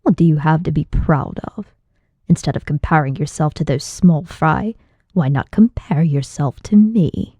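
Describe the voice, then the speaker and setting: A woman voicing an imperious, mocking older male martial arts master in a slow, deliberate, haughty manner for an audiobook. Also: deep voice, mellow, husky, no echo, pitched below the performer's own voice.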